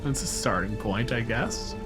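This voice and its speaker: A man's voice: medium pitch, measured pace. A man acting as game master, casually refereeing and explaining a tabletop roleplaying game. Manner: informal, explanatory. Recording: music behind the voice. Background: music.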